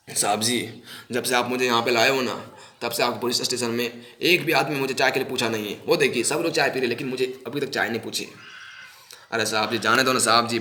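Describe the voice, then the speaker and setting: A man's voice: mid-range voice, sharp tone, roomy sound, natural voice. A man performing an audition monologue as a small-time pickpocket, anxious and servile, pleading innocence to police in whiny, repetitive street slang. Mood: weary complaining. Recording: noisy amateur recording with clicks.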